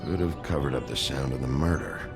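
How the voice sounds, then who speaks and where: A gruff werewolf detective from a video game, with a gravelly voice sitting extremely low. He speaks tersely and flatly, in a calm investigative tone. Loud music plays behind him. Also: fairly quick. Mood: calm, analytical. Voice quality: very deep, gravelly. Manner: terse, flat investigative delivery. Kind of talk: video game cutscene acting.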